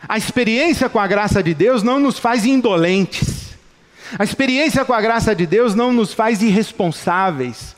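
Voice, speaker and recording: A Brazilian man preaches with passion and a high voice. He builds his points through repetition, and his words ring out with a long reverb in a church hall. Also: expressive, normal speed. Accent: Brazilian Portuguese. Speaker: pastor. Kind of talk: sermon.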